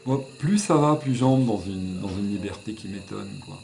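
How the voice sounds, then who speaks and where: Man, religious, reflective interview; mellow timbre, faint hiss behind, echoey room. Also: lowish voice, animated, moderate pace, vocal fry. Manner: earnest and searching, thinks aloud. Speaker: member of a religious order. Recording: echoey room, mic at a distance.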